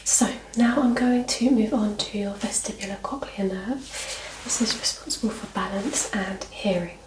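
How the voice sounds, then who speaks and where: A woman doing a soft-spoken ASMR medical roleplay as a doctor, gently giving instructions in a half-whisper. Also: medium pitch, normal speed. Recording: roomy echo, distant mic, clear background noise.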